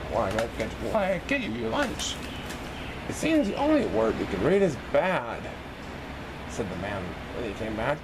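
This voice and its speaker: A man reading a children's picture book aloud in an expressive, sing-song way for young listeners. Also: high-pitched, noisy background. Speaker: storybook reader in a banana persona.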